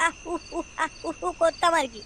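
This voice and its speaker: Woman doing a high, whining Bengali son-in-law. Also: moderate pace, heavy background noise. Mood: nervous stammering. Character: crude, frustrated young Bengali son-in-law. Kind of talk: comedy cartoon dubbing.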